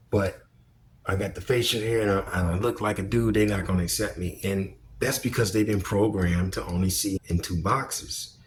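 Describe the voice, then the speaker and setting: A man's voice: fairly low pitch, a little hiss or hum. A man giving casual opinion commentary as a vlogger, speaking bluntly and emphatically with plenty of swearing.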